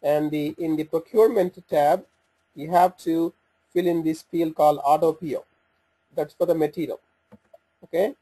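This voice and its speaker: A man with a soft, mellow tone and a somewhat raspy edge walks through software as a demonstrator. His pitch sits a little above average. He explains each step informally and haltingly, thinking aloud as he operates the screen. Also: fairly even intonation, low-quality recording. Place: voice-over for a screen recording.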